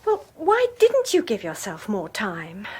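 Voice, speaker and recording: An actress playing a concerned, patient wife in a radio drama, gentle and hesitant. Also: female voice, mid-range voice, wildly swooping pitch, unhurried, breathy edge, heavily acted. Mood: careful, concerned. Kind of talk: 1980s radio drama acting.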